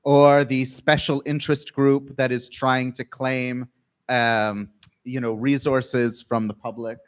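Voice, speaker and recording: A man from Canada delivers a keynote lecture at an unhurried, deliberate pace. His pitch sits in the middle, and he speaks in measured phrases with frequent pauses. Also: little pitch movement, smooth. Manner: measured, pausing for interpretation.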